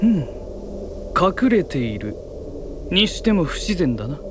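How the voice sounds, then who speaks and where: Casting: haughty young fantasy knight, high voice, swinging intonation, terse and self-assured. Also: male voice, sing-song, unhurried, noisy background. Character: aloof, blunt, curious young male knight inventor. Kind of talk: Japanese anime-style audio drama acting.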